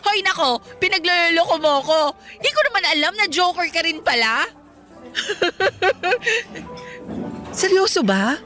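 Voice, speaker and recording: An actress playing a playful, stubborn young woman in love in a Filipino radio romance, teasing and expressive. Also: very high voice, a little slow, full character voice. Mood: playful, insistent. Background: music.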